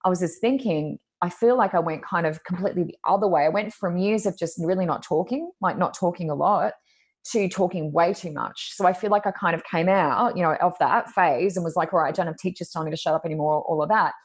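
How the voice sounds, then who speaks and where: A woman with a loud voice, a podcast co-host telling a personal story in casual conversation and acting out her own thoughts. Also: on the low side, quick pace, slightly rough.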